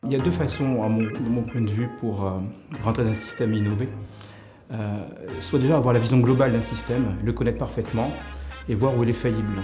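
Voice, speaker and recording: A man explains his views in an interview, talking very quickly at a medium pitch. The room reflects around his voice and music plays clearly behind him. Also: fairly even intonation, reverberant. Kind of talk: informal interview opinion, explanatory.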